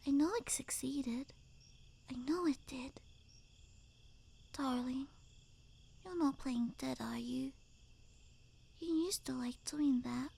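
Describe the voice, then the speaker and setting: Woman acting a high-pitched, doting yandere necromancer girlfriend. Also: slow pace, pauses often, dark tone, full character voice. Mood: hushed, anxious. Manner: breathy half-whispered, cooing, clingy. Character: doting, obsessive yandere girlfriend turned necromancer, sweet and unhinged. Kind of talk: ASMR roleplay, intimate soft-spoken acting with whispering.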